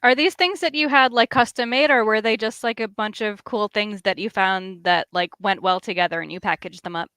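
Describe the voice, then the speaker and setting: A woman's voice: mid-range voice, fairly quick, hardly any pauses, fairly bright tone, smooth, no echo. A woman chatting casually as a co-host on an interview show. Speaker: podcast co-host. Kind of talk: casual chat.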